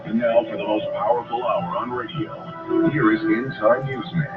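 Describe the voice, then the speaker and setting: A man's voice: medium pitch, steady tone, moderate pace. A man announcing a radio programme intro dramatically, heard over shortwave radio. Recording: distant, muffled shortwave radio broadcast. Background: loud music.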